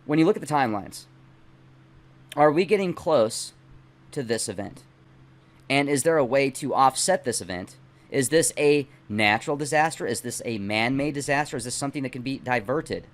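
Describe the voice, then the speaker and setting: A middle-aged man, not a native English speaker, hosting and reading aloud in deliberate, emphatic phrases with casual asides. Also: medium pitch, lively intonation. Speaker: host reading a book aloud and commenting. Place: small dim indoor home studio.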